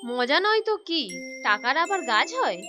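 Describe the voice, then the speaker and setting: Gentle, high, bright village wife. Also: female voice, very animated, normal speed, soft background music, full character voice. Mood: disbelieving. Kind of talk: cartoon fairy-tale acting.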